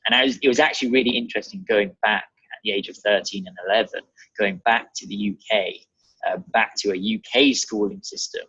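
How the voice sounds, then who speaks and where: Brief: young British male podcast guest, low husky voice, conversational, dull video-call sound. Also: fairly bright tone, no room sound. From England and Germany. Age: young adult. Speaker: podcast guest, real estate advisor. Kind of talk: casual conversational interview. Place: bright room at home, indoors.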